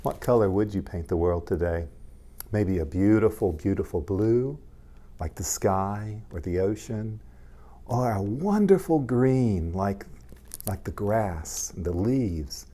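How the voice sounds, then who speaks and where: Low, slow-talking man reading to children. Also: expressive. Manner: gentle, simple child-directed talk with frequent questions. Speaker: pastor reading stories to children. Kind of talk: read-aloud storytime for young children.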